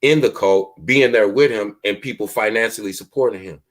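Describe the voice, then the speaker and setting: A man with a deep voice talks with emphatic, preachy conviction in a casual podcast. He projects loudly on purpose so his low voice does not blur. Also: fairly quick. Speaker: podcast host.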